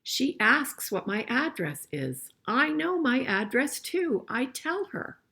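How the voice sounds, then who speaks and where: An older woman reading a picture book aloud to children in an expressive way, pausing to chat and ask them questions. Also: high-pitched, creaky, slightly rough. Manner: expressive, asking listeners questions as she goes. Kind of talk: children's storybook read-aloud with conversational asides and questions.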